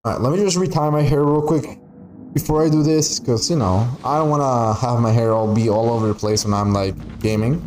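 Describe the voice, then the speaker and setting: A man's voice: mid-range voice, a little fast, darkish tone. Casual native Spanish-speaking man streaming games. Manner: rambling, profane, playful grumbling. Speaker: game streamer. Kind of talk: casual gaming livestream commentary.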